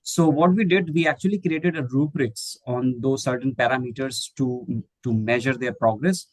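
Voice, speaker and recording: A man's voice: medium pitch, moderate pace, no room sound, low-quality recording. A middle-aged man from India whose first language is Hindi, answering questions in an online webinar, hesitant with many fillers and restarts. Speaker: guest speaker, English teacher trainer.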